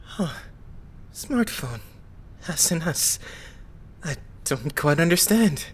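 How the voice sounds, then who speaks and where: A woman's voice: sits low, big pitch swings, slow, slightly rough, heavily acted. A woman acting a mild, bewildered young male idol in an anime-style dub. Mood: gently confused.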